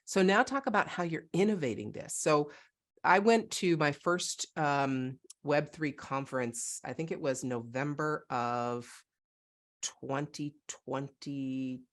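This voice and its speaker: A woman's voice: deep voice, takes it slowly. A woman from Northern California hosting a podcast from her home, chatting with enthusiasm. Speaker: podcast host. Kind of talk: casual interview chat.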